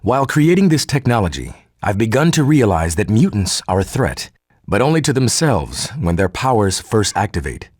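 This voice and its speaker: Male scientist, low husky voice, formal and articulate, game audio-log monologue, very dry close sound. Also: sing-song, moderate pace, a little mellow, dry recording. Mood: earnest, hopeful. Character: earnest male geneticist turning cold and militant.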